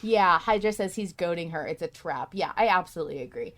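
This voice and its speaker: Woman podcast host, lowish voice, casual fan chat, swears a lot. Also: brisk, a bit bright. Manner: informal, swearing freely.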